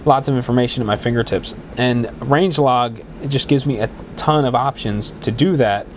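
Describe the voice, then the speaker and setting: Man with a cold, congested and a bit hoarse, rambling solo podcast host, faint room hum. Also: mid-range voice, moderate pace. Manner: rambling, many restarts and fillers. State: congested with a cold, voice slightly worn. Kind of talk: casual solo podcast talk.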